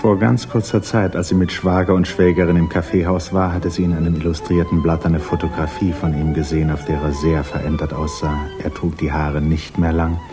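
A man narrating a 1950s German radio drama in a measured, grave literary style over a hissy old recording. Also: deep voice, little pitch movement, over music.

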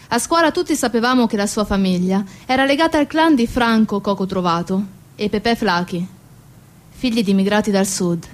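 An actress playing an earthy, confrontational narrator in a radio theatre piece, speaking in deliberate dramatic phrases. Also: female voice, medium pitch, close to an everyday voice. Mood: grave narration. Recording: music playing behind the voice.

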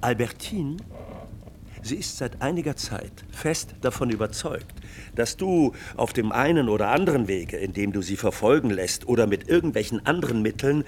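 A man's voice: mid-range voice, expressive, normal speed, close to an everyday voice. An actor playing a man confiding in his friend, in a literary radio play style. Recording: faint ambient background noise.

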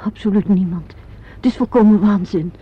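A woman's voice: medium pitch, normal speed, slightly rough. An actress playing a proud, loyal theatre star in a Dutch radio play, emphatic and dramatic in her delivery. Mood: defensive, firm. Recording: dull, lo-fi old radio recording.

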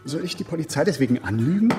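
An actor playing a self-important, defensive busybody in a naturalistic radio crime drama, insisting and justifying himself. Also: male voice, on the high side, lively intonation, fast talker, over music, natural voice. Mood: agitated, accusing. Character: defensive, self-important busybody man, eager to incriminate others.

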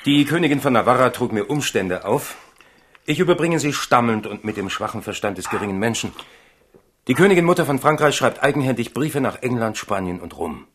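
A man's voice: mid-range voice, animated, brisk, fairly bright tone. An actor in a German radio play playing a loyal, talkative manservant who speaks deferentially to his king. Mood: deferential, confiding. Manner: wordy, deferential, storytelling.

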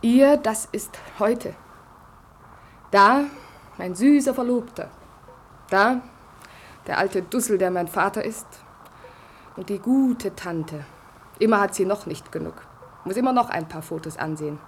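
A woman's voice: medium pitch, unhurried, pauses often, a little hiss or hum, lightly acted. An actress playing a bitter, coarse, disillusioned woman in a German radio play, blunt and mocking. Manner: scornful, blunt, monologuing.

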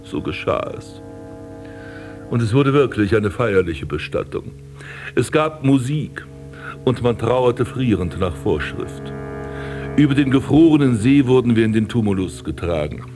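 An actor playing an embittered, melancholy aristocratic prince in a German radio drama, with theatrical, eloquent delivery. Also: male voice, medium pitch, lively intonation, a little slow, darkish tone, soft background music, lightly acted. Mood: grimly formal. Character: embittered, melancholy aristocratic prince recalling his wasted life and burial.

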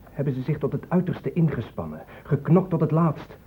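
A man's voice: a little high, very animated, normal speed. An actor playing an indignant young man in a radio play, speaking for his friends with insistent, challenging questions. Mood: accusing. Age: young adult. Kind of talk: Dutch radio drama acting. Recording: muffled, narrow-band old radio recording, noisy.

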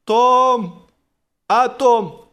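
Squeaky, plaintive tipsy young man. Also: extremely slow, a bit bright, clean tone, exaggerated voice. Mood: plaintive, indignant. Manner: hesitant, plaintive.

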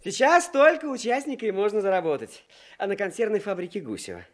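A smug young man about town in a radio drama. His delivery is breezy and patronizing, leaping all over in pitch. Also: wildly swooping pitch, quick pace. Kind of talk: Soviet radio drama acting.

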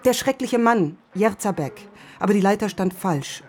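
An actress playing a concerned, firm middle-aged wife in a naturalistic radio play, explaining things in a measured, insistent way. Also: female voice, mid-range voice, lively intonation, slight background noise, natural voice.

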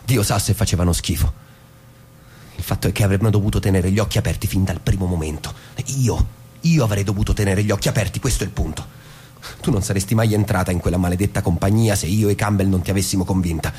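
An actor playing a defensive, self-righteous, wounded husband in an Italian radio stage drama, halting and then cutting. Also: male voice, low voice, fairly even intonation, warm tone, lightly acted. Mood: regretful, agitated.

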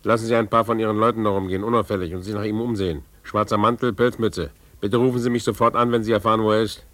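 Man playing a fast-talking, commanding schemer. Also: fairly low pitch, steady tone, fairly bright tone, natural voice. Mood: urgent, commanding. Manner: urgent, clipped, commanding. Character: brisk, commanding, self-assured male schemer. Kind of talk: 1950s German radio drama acting.

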